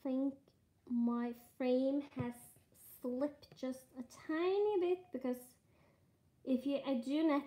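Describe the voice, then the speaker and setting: Slow, hesitant Norwegian-accented woman. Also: sits high, mellow. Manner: halting, thinking aloud, frequent fillers. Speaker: cross-stitch streamer. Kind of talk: casual live stitch-along chat.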